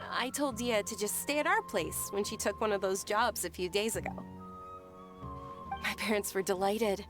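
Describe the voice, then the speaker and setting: A woman voicing a sheltered, gentle young noblewoman who pleads softly. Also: mid-range voice, moderate pace, over music, close to an everyday voice. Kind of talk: game voice acting.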